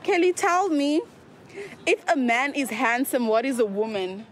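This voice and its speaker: Bright high-voiced woman quizzing passersby. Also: animated. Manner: upbeat, quick questions, frequent thanks. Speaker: street interviewer. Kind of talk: street quiz interview. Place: outdoors on a street. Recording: street recording with faint noise.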